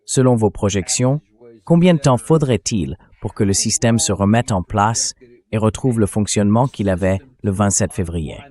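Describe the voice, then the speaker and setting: A man's voice: lowish voice, normal speed, darkish tone. A man's synthetic AI voice dubbing an interview host in a translated political interview.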